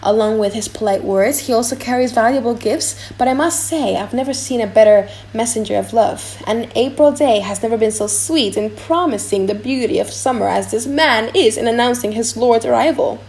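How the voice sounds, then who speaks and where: A woman's voice: on the high side, normal speed, hardly any pauses, darkish tone, close to an everyday voice. A woman voicing an eager, admiring household messenger in a dramatic audiobook, gushing while reporting.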